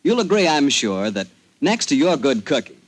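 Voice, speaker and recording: Animated American male radio announcer. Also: mid-range voice, normal speed. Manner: polished, persuasive. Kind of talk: 1940s radio sponsor announcement.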